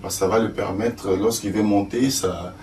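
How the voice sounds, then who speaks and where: An older man from Congo, an actor and stage director answering interview questions slowly with many fillers. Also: lowish voice, steady tone, a little mellow. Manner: slow, hesitant, many fillers. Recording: echoey room, distant mic, faint noise.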